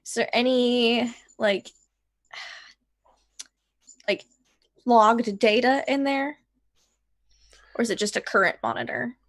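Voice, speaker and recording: A woman's voice: on the high side, fairly even intonation, slow, long pauses. A woman playing a tabletop roleplaying game, chatting casually with the group.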